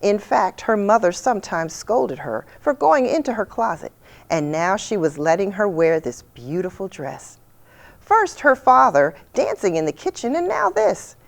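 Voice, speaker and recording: Expressive woman reading a children's storybook. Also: medium pitch, measured pace, creaky. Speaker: reader of a children's picture book. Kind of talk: storybook read-aloud narration.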